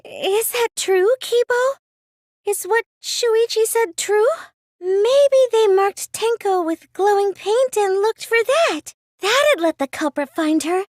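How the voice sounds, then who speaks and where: A woman's voice: very high voice, darkish tone, dry recording, studio quality, exaggerated voice. A woman voicing a plain, meek, nerdy teenage girl in an anime mystery video game, speaking in a mild, hesitant, questioning way. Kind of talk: anime video game English dub acting.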